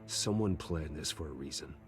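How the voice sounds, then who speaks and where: An actor playing a grim, guarded hero in a game, brooding and controlled, with a terse, restrained manner. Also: male voice, very deep voice, normal speed, music in the background. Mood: troubled.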